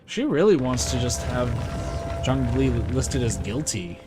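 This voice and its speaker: A man gives casual live gameplay commentary in a mid-range voice. His intonation is lively and his asides informal. Game music plays loudly behind him. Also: medium pitch, a little slow. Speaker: game streamer.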